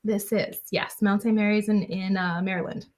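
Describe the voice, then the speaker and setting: A woman's voice: medium pitch, level tone, normal speed, darkish tone. A woman guest on an interview podcast, answering in a chatty way with many fillers and restarts. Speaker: guest, engineer.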